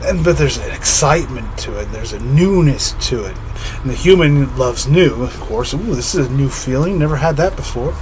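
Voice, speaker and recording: A man talking slowly and dreamily to the camera, giggling softly and trailing off, his voice slightly hoarse. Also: mid-range voice, lively intonation, noisy background. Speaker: spiritual vlogger. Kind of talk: reflective solo monologue.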